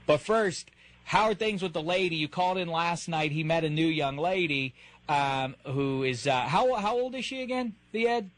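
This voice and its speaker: A man's voice: a little high, moderate pace, no room sound. A man in his thirties hosting a comedic radio show, teasing a caller in a mock-formal way with frequent stammered restarts. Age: 30s. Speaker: radio host.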